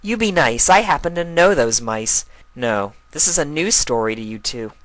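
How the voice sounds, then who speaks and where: A grown-up mare, put on by a storyteller, speaking a little above the narration with gentle firmness. The pony sounds like a watchful teacher spinning a cautionary tale, in a dry, close storybook recording. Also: medium pitch, expressive, moderate pace, no echo, pitched above the performer's own voice, natural voice, poor audio. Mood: gentle, teacherly. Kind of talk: dramatised story reading, cartoon pony.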